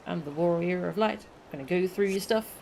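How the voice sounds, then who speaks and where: A woman's voice: fairly low pitch, a little fast, creaky, a little hiss or hum, low-quality recording. A woman casually commenting to herself while playing a video game on stream. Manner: relaxed, musing to herself. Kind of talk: casual let's-play commentary.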